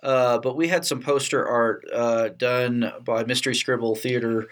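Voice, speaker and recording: Halting male podcast host in casual chat. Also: mid-range voice, fairly even intonation, a bit bright. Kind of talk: casual round-table chat.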